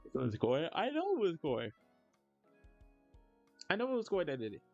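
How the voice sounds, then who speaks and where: A man in his thirties chats with his stream audience while he draws, rambling and filling his sentences with "like." His voice leaps upward when he reacts to the chat. The audio is a bit dull, with faint music playing underneath. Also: normal speed. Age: 30s. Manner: rambling, many "like" fillers, lively jumps in pitch when reacting to chat. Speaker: streamer and digital artist. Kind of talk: casual livestream chat while drawing.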